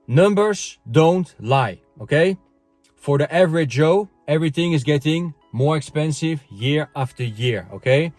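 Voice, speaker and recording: A European man hosting his channel, giving a casual opinion monologue, talking in a conversational way that keeps checking in with "okay?" and filling pauses with "uh" and "you know". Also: fairly high pitch, a little mellow, no echo. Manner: conversational, frequent "okay?" tag questions and fillers. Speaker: channel host. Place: France.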